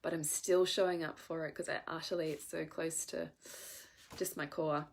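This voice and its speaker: A woman's voice: low-pitched, fairly even intonation, moderate pace, slight background noise. A woman in her thirties with a congested head cold, speaking earnestly and emphatically to her viewers as a coach in a live stream, sometimes swearing for emphasis. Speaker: women's coach.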